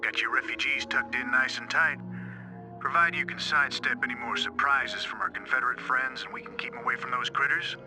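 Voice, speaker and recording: An actor playing a gritty, defiant frontier marshal in a video game, blunt and folksy, with a Southern American accent. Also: male voice, mid-range voice, fairly even intonation, brisk, music in the background, lightly acted, rough recording. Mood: easygoing.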